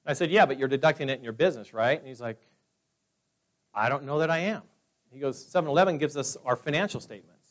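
A man's voice: mid-range voice, quick pace. A man in his forties from California, telling personal stories to a meeting in a rambling, chatty way full of fillers. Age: 40s. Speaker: speaker at a business meeting. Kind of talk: casual personal testimony to a room. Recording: dull lo-fi sound.

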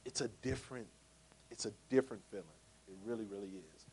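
A man in his forties giving a motivational sales talk to a live audience. He is jokey and asks the crowd rhetorical questions, swinging between loud punchy lines and quiet asides. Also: lowish voice, fast talker, husky, low-quality recording. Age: 40s. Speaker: speaker pitching a business opportunity.

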